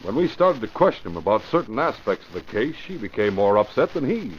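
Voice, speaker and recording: An actor playing a firm, determined police commissioner, formal and emphatic, in an old-time radio drama. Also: male voice, on the low side, big pitch swings, brisk. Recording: muffled, faintly noisy vintage radio recording.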